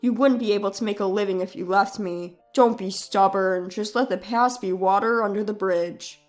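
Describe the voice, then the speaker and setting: A woman's voice: mid-range voice, normal speed, faint music behind, pitched below the performer's own voice, natural voice. A woman voicing a defensive cheating husband in a scripted story, pleading and condescending, with emphatic delivery.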